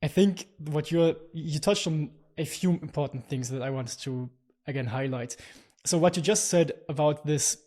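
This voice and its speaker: A man hosting a podcast, summing up his guest's story in a halting way, repeating words as he goes. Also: a little high, dark tone. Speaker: podcast host. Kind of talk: casual podcast chat.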